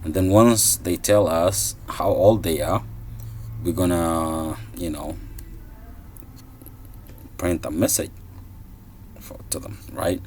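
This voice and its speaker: A man's voice: low-pitched, steady tone, slow, pauses often, a little mellow, slight background noise. A man in his thirties from Somalia giving a casual coding tutorial, thinking aloud with many fillers and restarts. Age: 30s. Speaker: programming tutor. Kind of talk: screen-recorded coding tutorial.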